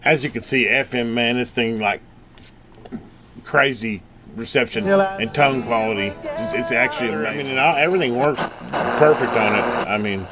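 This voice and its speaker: A man's voice: mid-range voice, moderate pace, loud music behind the voice, low-quality recording. A man talking casually and off the cuff as he hosts a hands-on electronics review and repair, thinking aloud as he works. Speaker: electronics repair video host.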